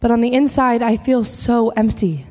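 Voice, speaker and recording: A woman's voice: fairly high pitch, normal speed, dark tone, close to an everyday voice. A woman playing a twenty-year-old college student, outwardly confident and inwardly empty, in a dramatic monologue. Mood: empty, confessing. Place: large reverberant hall. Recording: echoey hall with long reverb.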